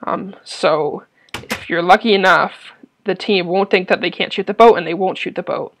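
A man's voice: high-pitched, normal speed, fairly bright tone, slight background noise. A young man casually explaining a game glitch over his gameplay, hesitating often with ums and restarts. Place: at a computer.

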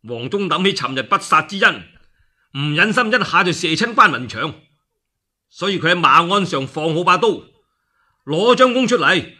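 A man's voice: sits high, very animated, moderate pace, pushed and bright. A man with a Cantonese accent, a radio storyteller narrating a classical war tale theatrically, with exclamations and spoken sound effects.

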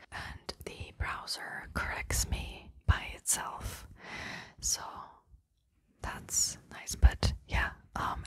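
A young woman from the Czech Republic, whispering ASMR chat into a small fluffy mic held at her lips, her accent a mix of American and British. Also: dry recording. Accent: mixed American and British, picked up from media. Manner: soft whispering close to the mic, chatty and rambling. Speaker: ASMR creator. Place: small room in front of a bookshelf. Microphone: fluffy mini mic held at the mouth.

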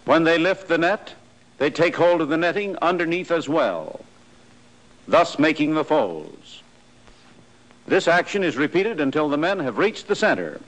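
A man giving scripted, measured instructional narration for a 1940s army training film. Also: a little high, very animated, a bit bright, low-quality recording.

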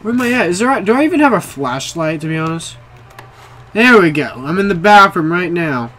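A man's voice: high-pitched, brisk. Young man, jumpy horror let's play host, cursing a lot, small bedroom, faint hiss. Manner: jumpy, excitable, swears a lot, talks to viewers. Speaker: gaming video creator.

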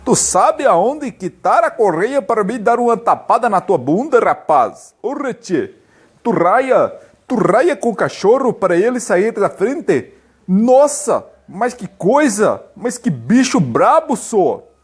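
A man's voice: high-pitched, very animated, takes it slowly, husky, pitched above the performer's own voice, exaggerated voice. A young man voicing a bossy, scolding gaucho man with a Rio Grande do Sul gaucho accent.

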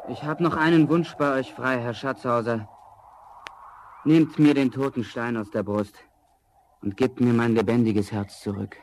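A man's voice: mid-range voice, fairly even intonation, unhurried, darkish tone, a little hiss or hum, natural voice. A man playing a desperate, defiant young charcoal burner in a fairy-tale audio drama, emotional and theatrical. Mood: pleading.

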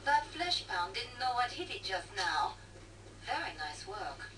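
A woman playing a brisk, wry, teasing trader in a video game. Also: medium pitch, sing-song, distant mic. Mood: approving. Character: brisk, wry French-speaking woman trader guiding fighters. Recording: noisy, echoey game audio from TV speaker.

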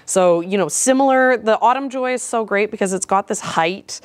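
A woman's voice: medium pitch, normal speed. Enthusiastic Canadian woman chatting casually. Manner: enthusiastic, rambling. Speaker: flower farmer and vlogger. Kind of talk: casual unboxing chat to camera. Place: indoors in a large cluttered garage workshop.

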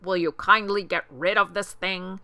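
A woman voicing rowdy monkey brothers in a children's storybook, shouting and scoffing like bullies. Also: medium pitch, steady tone, normal speed, no room sound. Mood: scornful, bullying.

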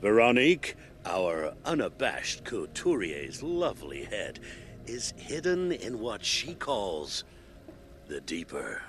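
An actor playing a mocking, pretentious cultist artist, a talking severed head, theatrical and grandiose in a video game. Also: male voice, on the low side, huge pitch swings, takes it slowly, a bit bright, slight background noise, full character voice. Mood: grandiose, knowing. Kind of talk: video game cutscene acting.

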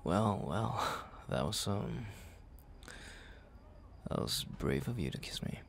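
A man playing a jealous, tender young best friend confessing love in an intimate ASMR roleplay, halting and murmuring with breathy sighs. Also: on the low side, sing-song, slight background noise, full character voice. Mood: nervous, tender.

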